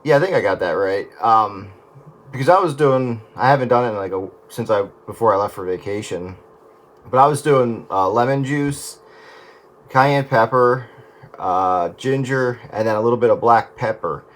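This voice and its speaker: A man's voice: mid-range voice, animated, moderate pace. A man chatting casually over the radio, rambling and correcting himself as he goes.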